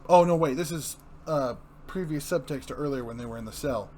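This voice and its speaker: A man's voice: fairly high pitch, a little fast, a little mellow, slight background noise. A man co-hosting a review show, casually narrating a comic book story with informal asides.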